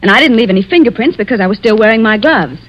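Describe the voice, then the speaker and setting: An actor playing an earnest witness giving testimony in a 1940s radio mystery, on a dull vintage recording. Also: male voice, high-pitched, lively intonation, very fast, a bit bright. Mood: earnest, insistent.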